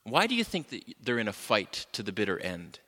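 A man teaches a church class in a loud, forceful voice, talking loosely and often pausing on fillers. He keeps turning to the room with questions. The sound rings around an echoey hall. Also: fairly low pitch, huge pitch swings. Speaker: class leader.

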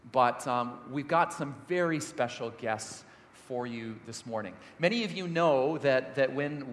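A man who is a pastor, introducing guests and interviewing them on a church stage, often repeating words as he goes. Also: medium pitch. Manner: warm, hesitant, repeats words. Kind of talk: sermon-style stage interview. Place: large church hall. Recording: echoey church hall.

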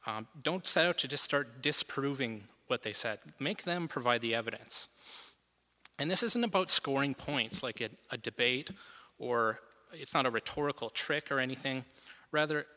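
A man presents a lesson from his notes, moving step by step and dropping in a few fillers. His voice is bright and forceful. It rings out across a reverberant hall. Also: medium pitch, normal speed. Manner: steady and methodical, some fillers. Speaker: guest presenter.

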